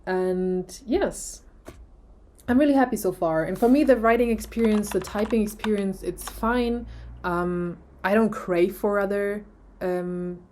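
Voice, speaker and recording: A woman's voice: mid-range voice, unhurried, darkish tone. A young woman with a German accent, whose first language is German, chatting casually in a product review video, with many fillers and restarts. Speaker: vlogger.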